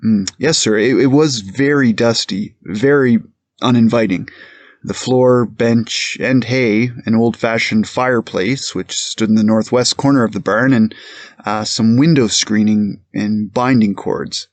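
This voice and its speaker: Low-voiced, careful police witness played by a man. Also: measured pace, dry recording, close to an everyday voice. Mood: hesitant, precise. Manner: hesitant, precise, formal and deferential. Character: careful, plain-spoken male police captain testifying as a witness. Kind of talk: courtroom transcript reenactment.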